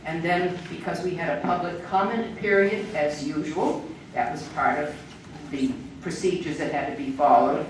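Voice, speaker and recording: An older woman giving a measured, explanatory public talk as an invited speaker. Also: low voice. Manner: measured, explanatory, with small laughs. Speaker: invited speaker on education standards. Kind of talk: public lecture. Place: echoey hall. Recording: echoey hall, distant mic, faint noise.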